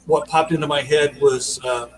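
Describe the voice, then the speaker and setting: A man's voice: a little high, steady tone, normal speed. An older man chatting casually as a podcast guest on a video call, modest and hesitant, sounding away from the mic with faint noise. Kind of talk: casual chat.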